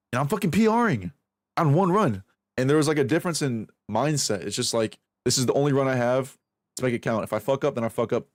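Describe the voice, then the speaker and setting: A man's voice: mid-range voice, no room sound. Profane rambling young man, dry mic. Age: 20s. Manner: rambling, profane, self-reflective, restarts a lot. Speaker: streamer. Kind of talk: casual stream chat while aim training.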